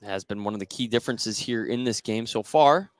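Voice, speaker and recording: A man calling live play-by-play of a high school basketball game for radio. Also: a little fast, dry recording, clean studio sound. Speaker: play-by-play commentator. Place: courtside at an indoor basketball game.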